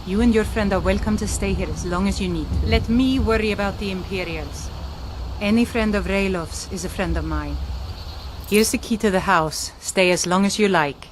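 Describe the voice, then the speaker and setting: A woman's voice: medium pitch, normal speed, noisy background, close to an everyday voice. A woman playing a firm, generous, plainspoken village mother in a fantasy video game. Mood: firm, welcoming.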